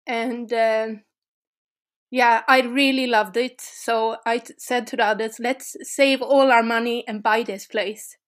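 A Swedish woman talks through her answers slowly and hesitantly, pausing to find her words. Her voice sits fairly high and her manner is thoughtful. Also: fairly even intonation. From Sweden. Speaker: guest, urban explorer. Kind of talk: interview conversation.

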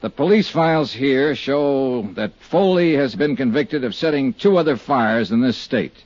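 An American actor playing a terse insurance investigator in a 1950s radio detective drama, speaking in a measured, factual way. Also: male voice, mid-range voice, animated, darkish tone, no echo. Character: terse, matter-of-fact male insurance investigator. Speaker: lead actor and narrator. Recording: dull vintage 1950s radio recording.

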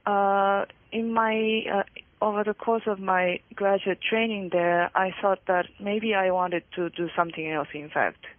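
A woman in her thirties with a Japanese accent speaks as an interview guest over a tinny, narrow phone line. Japanese is her native language, and her delivery is hesitant and halting, at a medium pitch. The sound is dry. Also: steady tone, no echo. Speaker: interview guest, historian and author. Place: remote call from Hawaii.

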